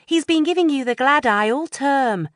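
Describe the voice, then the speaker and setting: A woman's voice: high voice, lively intonation, moderate pace, no echo, voice pushed up. A cheeky, coaxing teenage girl in an audiobook, put on by a woman reader, voice lifted well above her own, dry close sound. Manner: brash, coaxing. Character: bold teenage girl egging her friend on.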